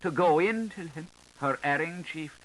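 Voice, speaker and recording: A knowing, sharp-tongued washerwoman voiced by a man passes on scandal in a lilting, rhetorical way. It is a dramatic literary audiobook reading from an old tape with light hiss. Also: on the high side, a little slow, pitched above the performer's own voice, low-quality recording. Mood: confiding. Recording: hissy 1985 tape recording.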